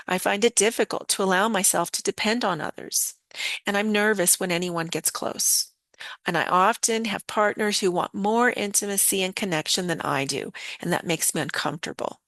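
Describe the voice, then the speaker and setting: A woman with a slightly hoarse voice, calmly explaining ideas and speaking straight to her viewers in a live online lesson. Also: medium pitch, moderate pace, dry recording. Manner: calm, explanatory, addresses viewers directly. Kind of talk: teaching a live online session.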